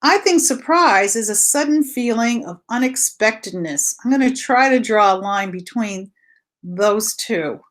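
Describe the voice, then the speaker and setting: A woman's voice: fairly high pitch, animated, unhurried, fairly bright tone. A woman teacher leading a read-aloud lesson for young children, explaining simply with repetition and posing questions she answers herself.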